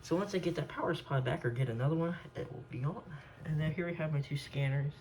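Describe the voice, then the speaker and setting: A man giving a casual, unscripted show-and-tell as a hobbyist collector, rambling and halting as he corrects himself. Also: fairly high pitch, a little mellow, slight background noise.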